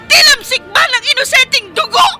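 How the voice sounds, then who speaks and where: A woman's voice: very high-pitched, lively intonation, brisk, over music. A woman playing an impassioned, tearful young woman in a radio drama.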